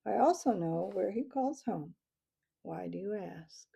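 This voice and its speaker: Storybook owl captain, male, mocking and raucous, a woman reading it, gravelly and dark. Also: mid-range voice, animated, measured pace, full character voice.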